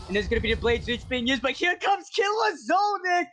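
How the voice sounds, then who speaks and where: A man calls a live esports match play-by-play in rapid, excitable bursts. His voice sits very high and is starting to go hoarse. There is clear noise over the stream audio. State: voice going hoarse. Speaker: play-by-play caster.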